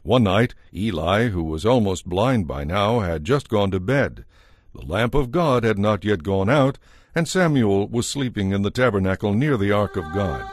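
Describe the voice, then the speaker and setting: A man narrating a dramatized audio Bible as a scripted story, measured and solemn. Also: on the low side, animated, no echo, clean studio sound.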